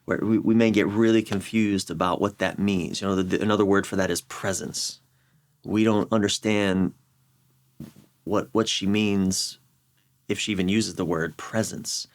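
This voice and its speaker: A middle-aged American man explaining his views in a candid interview, halting and repeating phrases for emphasis. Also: fairly low pitch, steady tone. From United States. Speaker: relationship coach, podcast guest. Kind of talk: reflective conversational interview explanation.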